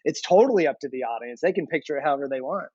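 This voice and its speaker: A man's voice: fairly high pitch, expressive, racing, a little mellow, slightly rough, no room sound, highs cut off. A man hosting a podcast, chatting casually and with enthusiasm. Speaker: podcast host.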